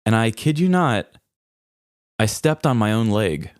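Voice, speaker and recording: A man's voice: fairly low pitch, big pitch swings, unhurried, dry recording, clean studio sound. A man co-hosting a podcast in casual comedic banter, telling things playfully. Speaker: podcast co-host.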